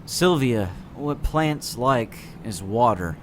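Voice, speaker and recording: A man's voice: mid-range voice, animated, slow. Jaded, sardonic teenage boy, acted by a man, noisy recording. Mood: exasperated. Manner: jaded, dry, matter-of-fact. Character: gloomy, sardonic teenage boy writing a suicide note. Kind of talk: scripted comic-dub acting, first-person narration and dialogue.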